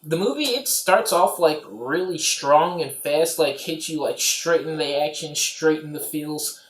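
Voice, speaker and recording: A man's voice: on the high side, measured pace, a bit bright. A man giving a casual, offhand movie review, rambling along with lots of filler phrases. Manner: rambling, offhand, peppered with "you know" and "like". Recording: roomy, echoey home recording.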